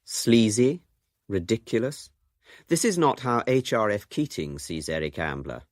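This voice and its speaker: Low, expressive male radio presenter. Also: measured pace, no room sound, studio quality. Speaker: documentary presenter. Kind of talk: scripted radio narration.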